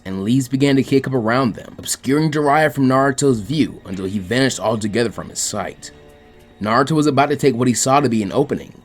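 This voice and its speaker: A man narrating fan fiction as a scripted story reading. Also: medium pitch.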